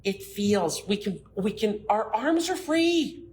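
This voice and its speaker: A big-voiced middle-aged woman talks shop as a bra fitter, her voice at a middling pitch. She tells lively stories and acts out her customers' lines. A faint hiss of noise sits behind her. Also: slow pace. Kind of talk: casual shop talk with stories.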